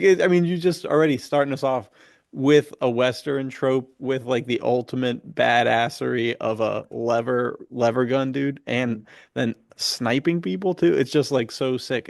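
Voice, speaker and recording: A man with a gravelly, creaky edge talks in an excited, slangy way. He chats casually, as if among buddies on a podcast, and the sound is close and dry. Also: mid-range voice, no room sound. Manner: slangy and enthusiastic, punctuated with "dude" and "like". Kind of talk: casual podcast book chat.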